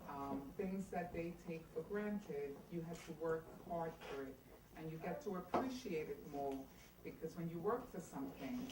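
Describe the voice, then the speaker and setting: A middle-aged woman from Trinidad and Tobago, a judge giving an unhurried, storytelling speech at a community event. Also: low voice, animated. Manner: warm storytelling, unhurried, laughs easily. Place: community center hall. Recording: echoey hall from far off, noisy.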